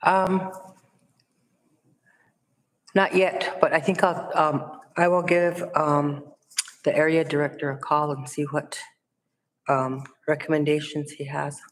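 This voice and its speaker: Low, slow-talking hesitant woman. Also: little pitch movement. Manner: hesitant, many fillers. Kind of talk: council meeting report. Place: large council chamber. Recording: long reverb in a hall.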